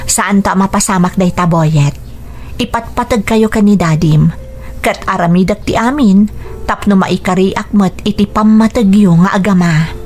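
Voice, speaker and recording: A woman playing a patient, dutiful wife and stepmother in a Philippine radio drama, speaking in an earnest, gentle way. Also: medium pitch, moderate pace, faint music behind, natural voice. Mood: gentle, reassuring.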